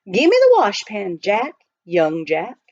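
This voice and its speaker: A woman voicing a friendly, rough-hewn young gold miner in folksy frontier dialect for a children's storybook. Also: medium pitch, wildly swooping pitch, takes it slowly, a little mellow, muffled recording, heavily acted. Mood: friendly, instructive. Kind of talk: children's storybook read aloud.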